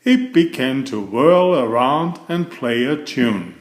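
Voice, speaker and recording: A man reads a children's picture book aloud in an expressive storytelling manner. His pitch moves around a lot and his pace is unhurried. The room adds an audible echo. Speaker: storybook reader and children's author.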